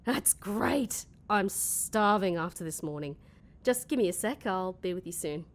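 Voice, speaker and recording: A flustered woman office worker in an audio comedy. Her voice sits a little low for a woman and swings widely in pitch, as if she is confiding to a camera. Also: expressive, measured pace. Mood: breezy. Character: flustered office worker Sienna, woman hiding secret crush. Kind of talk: mockumentary-style comedy audio drama, talking to camera.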